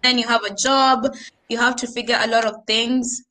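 A woman's voice: on the high side, fairly even intonation, moderate pace, sharp tone, rough recording. A young woman from Kenya with a Kenyan accent, chatting animatedly on a podcast and switching between English and Swahili slang. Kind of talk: casual podcast chat.

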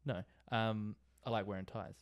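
Laid-back Australian bloke, lowish voice. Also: male voice, steady tone, moderate pace. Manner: laid-back, laughing, slangy. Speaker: podcast co-host. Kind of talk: casual chat between mates.

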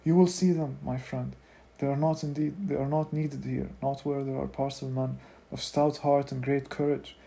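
A man's voice: medium pitch, monotone, fairly quick, creaky, few pauses, mellow, close to an everyday voice. A man voicing a rakish, charismatic male rebel leader giving a declamatory rallying speech. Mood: stormy.

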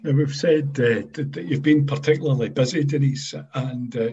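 A man hosting an interview, asking measured questions with some fillers. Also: medium pitch, warm tone, breathy edge, lo-fi sound. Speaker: interview host.

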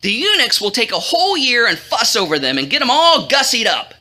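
Excitable king's man, played by a woman: slangy, emphatic, bright and very animated. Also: a little high, fairly quick, exaggerated voice. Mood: scheming, excited. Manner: emphatic, colloquial, egging on. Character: pushy, excitable male royal courtier, one of the guys.

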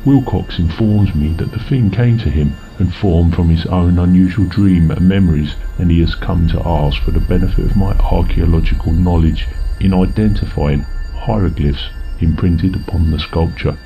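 A man playing a grave, scholarly archaeologist narrating an eldritch horror tale in formal, measured, solemn tones. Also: low voice, mellow, soft background music, low-quality recording. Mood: grave, ominous. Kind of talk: dramatic reading of gothic horror fiction.

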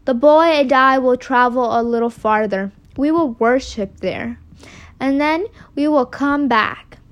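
A devout, reluctant father in an informal storytelling, played by a woman, in a high-pitched voice. He speaks haltingly, with resigned reassurance. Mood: reassuring. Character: reluctant, devout old-time father obeying God.

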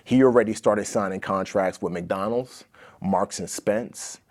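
A man's voice: fairly low pitch, a little slow, a little mellow. A Nigerian-born man in his forties with an American accent, a guest telling his family's story in a casual interview and acting out other people's voices. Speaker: guest, author.

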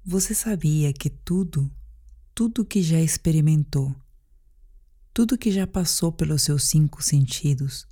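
A Brazilian woman narrating a guided sleep meditation in a calm, gentle, deliberate manner. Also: low voice.

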